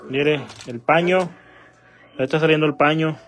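A man's voice: on the high side, steady tone, normal speed, soft background music. A man hosting a casual livestream, chatting in short coaxing questions and instructions. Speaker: livestream host. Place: small house kitchen and living room, indoors. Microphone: handheld phone. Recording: noisy handheld phone in a kitchen.

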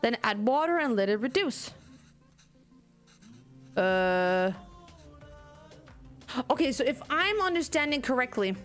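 A young Danish woman with a Danish accent, streaming a game and commenting in an animated, ranting way, reading lines aloud as she plays. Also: high voice, slow, pauses often, soft background music. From Denmark. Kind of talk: let's play commentary.

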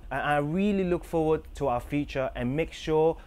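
A man in his thirties from Hong Kong, answering interview questions over a video call in an earnest way, often pausing with ums and restarts. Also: fairly high pitch, darkish tone. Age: 30s. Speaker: entrepreneur, interview guest. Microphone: video call, head-and-shoulders webcam framing.

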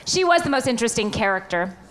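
Droll, lively woman podcast host. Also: a little high, quick pace. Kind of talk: casual live comedy podcast banter. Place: theatre stage before an audience. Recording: live stage with clear crowd noise.

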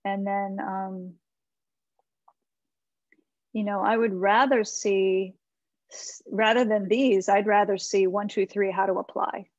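A woman's voice: medium pitch, level tone, slow, pauses often, darkish tone, no echo. A middle-aged American woman giving informal, encouraging advice as a webinar presenter, thinking aloud in a conversational way over a video call. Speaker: webinar presenter, website marketing consultant. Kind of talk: informal website critique and advice. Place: small indoor room with bookshelves. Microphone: computer mic on a video call. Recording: slightly dull video-call audio.

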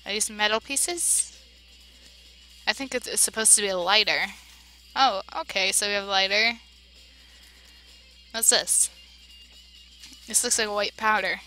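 A woman playing a game, reading its dialogue aloud and reacting with big emotional swings between loud outbursts and hushed narration. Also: mid-range voice, measured pace, pauses often, soft background music, rough recording. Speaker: let's play voice-over player.

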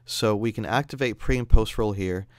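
Clear, dry-sounding male tutorial narrator. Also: fairly low pitch, normal speed, no room sound. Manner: explanatory, conversational. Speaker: audio software instructor. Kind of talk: instructional screencast narration.

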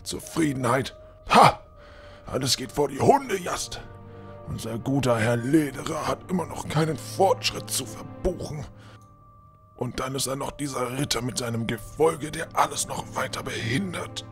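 A man's voice: medium pitch, expressive, moderate pace, fairly bright tone, soft background music. A man playing an irritable, commanding, scornful old lord in a fantasy audio drama. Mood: irritated, dismissive. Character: grumpy, imperious old lord of the house. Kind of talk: fan-made fantasy audio drama acting.

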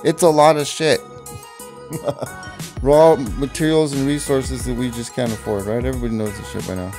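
A man's voice: mid-range voice, fairly even intonation, normal speed. A man talking off the cuff, rambling and swearing, with a voice-over over music. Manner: profane, rambling, laughs at own jokes. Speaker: vlogger. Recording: voice over loud background music.

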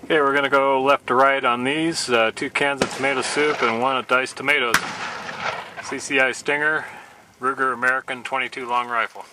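A man calmly narrating shooting tests at an outdoor range, in a matter-of-fact, plain delivery. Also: mid-range voice, fairly quick. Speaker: channel host. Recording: noisy outdoor recording.

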